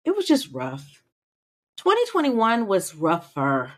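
A woman's voice: medium pitch, huge pitch swings, takes it slowly. A woman in her forties co-hosting a podcast, chatting emphatically and talking straight to her listeners. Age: 40s. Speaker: podcast co-host. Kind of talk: casual chat.

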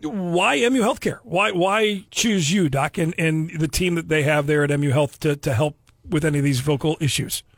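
A man's voice: a little high, normal speed, hardly any pauses, husky, no room sound, clean studio sound. A man hosting a radio interview, upbeat and promotional, asking questions and reading out a call to action. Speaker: radio host.

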